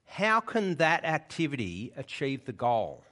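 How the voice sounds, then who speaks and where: A man's voice: mid-range voice, wildly swooping pitch, a little slow, a bit bright. A man preaching a sermon in church, explaining the passage in a conversational way and often checking in with his listeners. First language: English.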